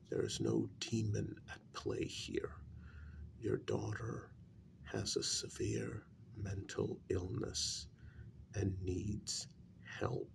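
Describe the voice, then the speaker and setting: Very slow, gravelly soft-spoken man. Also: on the low side, background noise. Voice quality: breathy half-whisper, gravelly. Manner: deliberate, measured, halting phrases. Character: calm, grave male psychologist and spiritualist counselling a parent. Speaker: ASMR role-play performer. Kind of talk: soft-spoken ASMR role-play, acted consultation.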